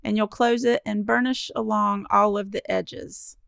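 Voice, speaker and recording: A woman talking through a paper-craft tutorial, friendly and encouraging as she explains each fold. Her voice sits mid-pitch with a strong gravelly fry running through it. The sound is dry and close, with no room around it. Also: level tone, a little slow, fairly bright tone, no echo. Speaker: card-making crafter. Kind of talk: step-by-step craft tutorial voice-over.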